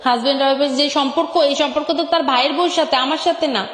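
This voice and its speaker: High, echoing, voice-changed Bangladeshi woman. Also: fairly even intonation, fast. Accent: Bangladeshi Bengali. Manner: emphatic, repeats phrases. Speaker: guest telling own life story. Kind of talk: personal storytelling on a radio show. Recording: echoey with long reverb.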